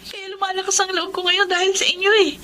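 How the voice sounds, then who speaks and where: Radio drama role: excitable young Filipino daughter, extremely high girlish voice, gushing and polite. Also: quick pace, a little hiss or hum. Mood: cheerful, respectful.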